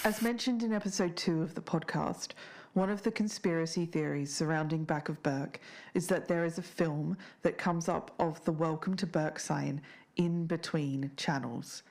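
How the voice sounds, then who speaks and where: A low female voice acted by a woman hosts a podcast about an eerie mystery. Her scripted narration is serious, with measured and formal phrasing. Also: fairly even intonation, darkish tone. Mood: serious, ominous. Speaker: podcast co-host.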